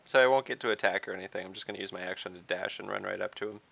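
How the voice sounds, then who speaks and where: A man playing a tabletop game over a video call, eager and quick to laugh. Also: deep voice, gravelly, fairly bright tone. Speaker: player. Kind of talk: casual tabletop play. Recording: dull lo-fi video call audio.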